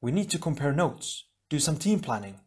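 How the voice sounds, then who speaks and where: A cheerful, talkative young air hero, as a man puts him on in a raised, bright voice. His grammar comes out jumbled and broken. He is done as a figure in a read-aloud adventure book. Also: fairly high pitch, very animated, normal speed, pitched above the performer's own voice. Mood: eager.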